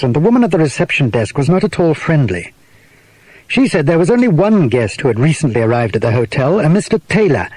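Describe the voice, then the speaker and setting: A man's voice: fairly high pitch, darkish tone, dry recording, low-quality recording. A man narrating a children's story from a script.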